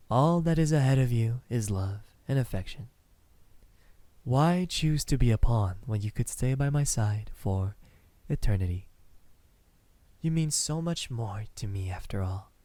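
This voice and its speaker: A man playing a courteous, lovestruck vampire in an intimate ASMR roleplay, speaking softly in formal words with hesitant pauses. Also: mid-range voice, lively intonation, no room sound, natural voice. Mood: persuasive, devoted. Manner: hesitant, soft-spoken, formal wording with lingering pauses.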